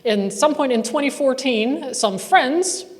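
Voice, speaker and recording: A woman's voice: high voice, animated, a little slow. A woman researcher presenting a report at a conference, conversational and wry with asides. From not from Northern Ireland. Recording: reverberant hall sound.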